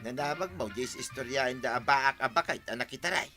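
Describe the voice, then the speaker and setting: A man with an Ilocano accent playing a sensible, mildly scolding village man in a radio comedy drama, forceful and chiding. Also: medium pitch, fairly quick, loud music behind the voice, natural voice.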